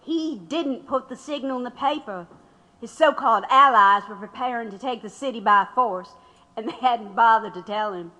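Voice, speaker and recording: A woman's voice: a little high, moderate pace, pushed and bright. A woman playing a defiant, wry activist under interrogation in an audio drama, firm and sardonic. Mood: explanatory. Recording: long echoing room reverb.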